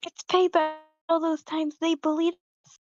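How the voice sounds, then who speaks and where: A woman's voice: very high voice, level tone, measured pace, faint music behind. A woman reading a scornful, self-righteous young creature schoolgirl in a screenplay table read, emphatic and dismissive. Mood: defensive, scornful. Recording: dull lo-fi call audio.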